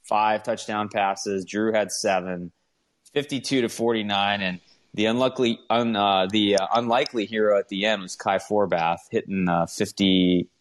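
A man hosting a casual sports chat, recalling things excitedly and correcting himself as he goes. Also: on the low side, normal speed. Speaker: sports podcast host. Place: remote group call on a live audio app. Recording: faint ambient background noise.

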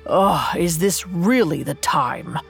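A woman's voice: expressive, slow pace, creaky, soft background music, heavily acted. A woman doing a weary, whining father. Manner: low, whining, tired. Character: weary, drunken middle-aged father.

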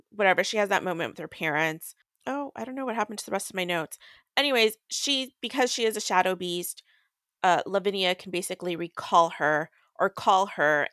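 A woman's voice: on the low side, fairly bright tone, no room sound, studio quality. A young adult woman hosting a podcast, recapping a book in casual, irreverent chat with crude humor and swearing. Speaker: podcast host.

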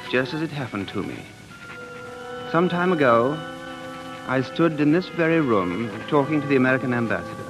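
A man's voice: medium pitch, close to an everyday voice, poor audio. A man playing an earnest, dreamy American living in London, speaking intensely and musingly in 1940s radio drama style. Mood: solemn, confiding. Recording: 1940s radio broadcast, faint music.

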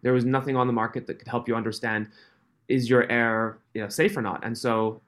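A man in his thirties, raised in Switzerland, with a mixed, faintly Canadian accent, chatting as a podcast guest, friendly and quick to laugh. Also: mid-range voice, steady tone, fairly bright tone. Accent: mixed, Canadian-tinged. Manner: friendly, laughs often, restarts phrases. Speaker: podcast guest, company founder. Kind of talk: casual interview chat.

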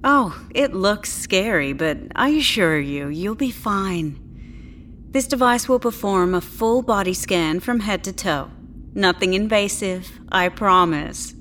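An actress playing a polite, self-assured clinic doctor with a reassuring, professional manner in a science-fiction audio drama. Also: female voice, mid-range voice, lively intonation, slow pace, a bit bright, slight background noise. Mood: welcoming, reassuring.

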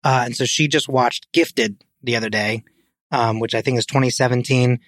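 A man's voice: mid-range voice, fairly even intonation, moderate pace, fairly bright tone, dry recording, clean studio sound. A man in a casual film discussion on a podcast, talking informally and peppering his sentences with "like". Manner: informal, hedging with frequent "like". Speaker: podcast co-host.

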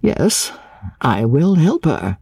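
A woman's voice: low voice, sing-song, creaky, warm tone, slightly rough, no room sound, clean studio sound, voice pushed up, full character voice. A woman reading a storybook as a courteous, earnest and puzzled clergyman who speaks hesitantly. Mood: resolved. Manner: hesitant, halting, deliberate.

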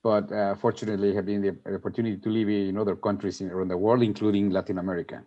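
A man from Mexico speaking as an interview guest, his fairly low voice coming through a dull, lo-fi line. He searches for words, restarting phrases and filling gaps with "uh" and "you know". The delivery is earnest and careful. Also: flat delivery, dark tone. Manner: halting, many restarts and fillers. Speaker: guest, company executive. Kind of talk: interview answers.

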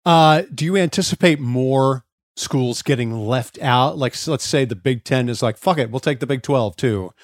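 A man's voice: medium pitch, normal speed, dry recording, studio quality. A man co-hosting a casual sports podcast, wry and blunt, happy to swear. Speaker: podcast co-host.

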